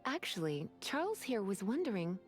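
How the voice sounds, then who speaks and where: A woman's voice: medium pitch, sing-song, moderate pace, faint music behind, lightly acted. Coy, genteel, lilting lady, acted by a woman. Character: sultry, teasing, self-assured adult woman librarian. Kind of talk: video game character dialogue.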